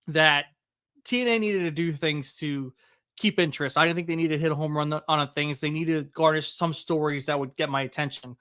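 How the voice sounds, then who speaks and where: A man co-hosting a casual podcast, chatting with excitement and plenty of fillers. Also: fairly high pitch, dry recording, clean studio sound. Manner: excited, quick, conversational with filler. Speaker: podcast co-host. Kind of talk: casual wrestling podcast chat.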